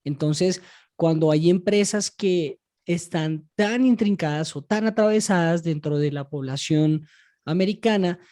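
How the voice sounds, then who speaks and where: A man co-hosts a conversational podcast. He explains things at an unhurried pace, stretching out his sentences and pausing with filler sounds. His delivery stays steady and thoughtful. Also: on the high side. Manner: measured, drawn-out explaining with many fillers. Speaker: podcast co-host.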